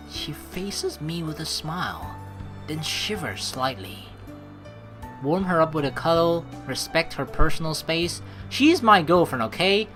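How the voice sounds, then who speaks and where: A young man hosting a game playthrough, reading narration aloud and adding playful, informal commentary in an expressive way. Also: on the high side. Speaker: let's play host. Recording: voice over game music.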